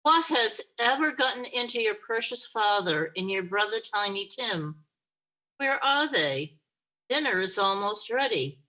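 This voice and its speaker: A woman's voice: mid-range voice, expressive, a little slow, pushed and bright, poor audio. A woman voicing an anxious, busy mother cooking dinner, with fretful, questioning lines. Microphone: video call.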